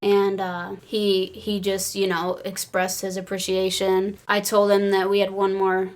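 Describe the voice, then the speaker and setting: An American woman casually telling excited personal stories in an animated, giggly way, peppering her speech with "like". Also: medium pitch, unhurried. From Illinois, United States. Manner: animated, giggly, says "like" often. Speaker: podcast crew member telling fan stories.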